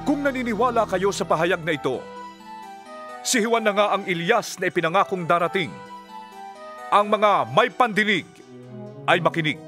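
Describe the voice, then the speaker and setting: A solemn holy preacher in a scripture audio drama. His voice sits high and rings out brightly, with deliberate, declamatory phrasing. Music plays behind his fervent, authoritative words. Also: expressive. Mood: earnest, preaching.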